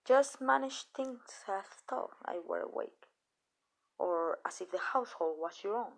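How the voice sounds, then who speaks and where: Vampire mistress, played by a woman, for ASMR roleplay: soft, gravelly fry, tender and possessive, slow-weighted pauses, close and confiding. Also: mid-range voice, lively intonation. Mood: proud, protective. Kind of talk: ASMR roleplay, intimate scripted monologue.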